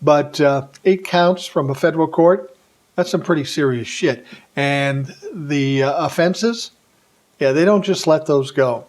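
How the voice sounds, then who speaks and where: A man's voice: fairly high pitch, measured pace, darkish tone. An American man delivering an opinionated political monologue in blunt, colloquial terms, posing rhetorical questions and answering them himself. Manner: blunt, colloquial, emphatic phrasing. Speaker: political commentary vlogger.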